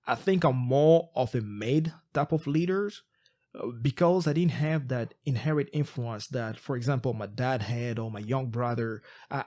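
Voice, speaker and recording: A man's voice: mid-range voice, a little mellow. A podcast co-host from Congo, a man whose first language is not English, telling his story in an earnest, reflective way with frequent restarts. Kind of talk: casual podcast chat.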